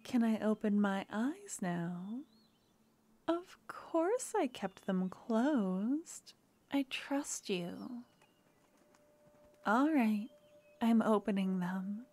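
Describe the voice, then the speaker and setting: A woman voicing a gentle young necromancer girlfriend in an ASMR fantasy roleplay, speaking softly and often whispering. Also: mid-range voice, lively intonation, very slow, long pauses, full character voice. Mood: tender. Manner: soft and intimate, often whispering.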